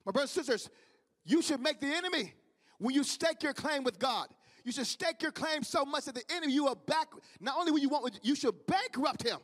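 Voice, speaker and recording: Midwestern male preacher, very high voice, fervent repeating cadence, long hall reverb. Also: animated, a little fast, fairly bright tone. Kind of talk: sermon.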